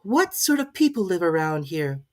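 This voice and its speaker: A reader puts on a curious, polite young girl in a storybook. Her pitch is lifted high and moves a lot. She sounds earnest and prim, full of questions. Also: sing-song, normal speed, lightly acted. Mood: polite, timid.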